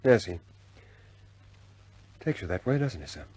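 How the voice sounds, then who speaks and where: Loyal RAF-style officer, husky, lively intonation, understated and deferential, for a vintage radio war drama. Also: male voice, sits low, a little slow, dry recording, exaggerated voice. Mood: subdued. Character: loyal, respectful male air force officer, frankly understated. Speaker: squadron pilot reporting to his superior.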